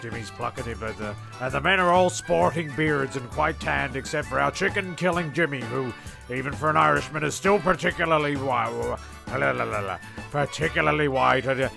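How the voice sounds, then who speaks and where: A man's voice: mid-range voice, brisk, gravelly, over music. A man playing the wry, slightly exasperated narrator of a castaway comedy radio play, stumbling now and then.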